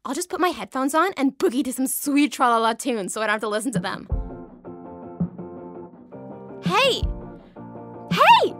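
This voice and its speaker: A woman playing a vain, flippant young female shapeshifting alien in audio theatre, sassy and petulant in manner. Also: sits high. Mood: defiant.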